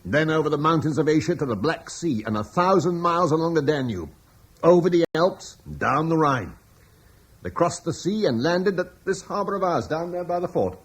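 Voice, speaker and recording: An actor playing an earnest, eloquent Romano-British landowner who speaks persuasively in defense of Rome's legacy, in a classic radio drama. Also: male voice, fairly high pitch, animated, moderate pace, husky.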